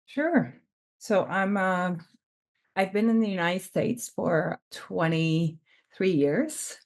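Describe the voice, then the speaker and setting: A woman's voice: fairly low pitch, slow. A woman from Lebanon, a professor answering an interview question earnestly while searching for her words. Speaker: professor, podcast guest.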